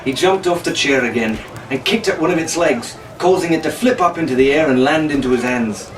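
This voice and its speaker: A man's voice: fairly high pitch, brisk. A man narrating an adventure story in a dramatic, scripted audiobook style. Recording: roomy, distant mic, faint noise.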